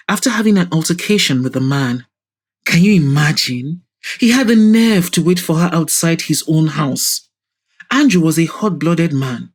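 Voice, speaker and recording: A woman's voice: lowish voice, breathy edge. A woman playing an arrogant middle-aged businessman in an audio drama, pompous, mocking and self-righteous. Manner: pompous, scornful.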